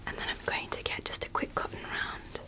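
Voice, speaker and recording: A woman whispering softly and breathily in a gentle, reassuring ASMR roleplay as a doctor giving an exam. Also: fairly quick. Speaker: ASMR performer playing an ear doctor.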